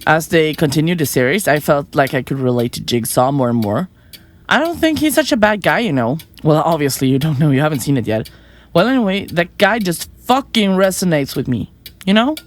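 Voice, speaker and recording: A woman playing a flippant, self-important young murderer in an audio drama, glib and quick to get defensive. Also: low-pitched, sing-song. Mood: smug enthusiasm. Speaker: murderous podcaster in a therapy session. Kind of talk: audio drama acting, conversational.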